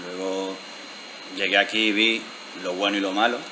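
Soft-spoken man, thoughtful. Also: low-pitched, level tone, moderate pace, noisy background. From Venezuela. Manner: thoughtful, hesitant, many restarts. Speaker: guest artist. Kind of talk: interview. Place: lounge bar indoors. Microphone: handheld mic.

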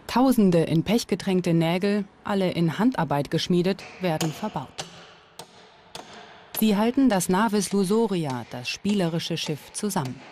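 A woman's voice: lowish voice, lively intonation, unhurried, a little mellow, slight background noise. A woman reading scripted documentary narration. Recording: music under the voice. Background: background music.

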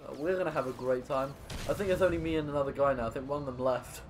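A man's voice: mid-range voice, racing, background noise. A young man giving casual gameplay commentary in a rambling, exasperated way, with joking complaints and mild swearing. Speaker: gaming video host. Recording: game sound clearly behind voice. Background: video game action sounds.

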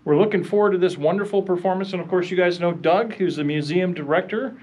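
A man's voice: high-pitched, quick pace, a little mellow, slightly rough. A middle-aged man hosting a vlog, chatting enthusiastically to a handheld camera inside a museum. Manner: upbeat, enthusiastic. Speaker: vlogger host. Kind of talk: casual introduction chat. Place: museum gallery, indoors.

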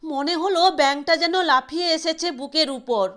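Woman acting a high-pitched, scolding young wife. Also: normal speed. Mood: urgent. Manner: sharp, bossy, exasperated. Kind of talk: dramatised story reading.

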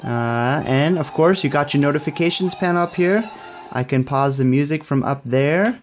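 A man's voice: fairly high pitch, moderate pace, soft background music. A young man giving a casual hands-on tech demo in an upbeat, approving manner. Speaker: tech reviewer.

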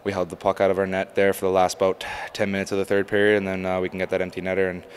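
A young male athlete gives casual postgame answers. He talks fast in a low, level tone, over faint ambient noise. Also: monotone. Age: young adult. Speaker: ice hockey player. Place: indoors before a sponsor backdrop at an arena. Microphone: handheld microphone held by interviewer.

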